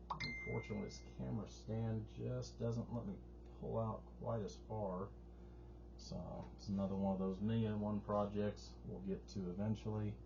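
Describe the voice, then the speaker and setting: A man's voice: fairly low pitch, mellow. A man casually talking through an amplifier demonstration in a folksy, rambling, informal way, as a repair technician. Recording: muffled sound with clear background noise.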